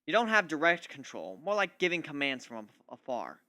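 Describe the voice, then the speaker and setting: A man's voice: on the high side, very animated, fairly quick, bright, forceful tone, no echo, studio quality. A man voicing a cocky, scheming male griffin in a dramatic story reading, talking in a boastful, glib way. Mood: matter-of-fact. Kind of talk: dramatic reading of fan fiction.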